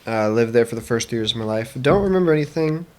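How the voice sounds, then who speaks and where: A young man with an American accent chats casually as a podcast guest, his voice sitting at an ordinary middle pitch. He talks in a relaxed way with dry, self-mocking humor. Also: fast talker. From Germany (American raised there). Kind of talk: casual chat.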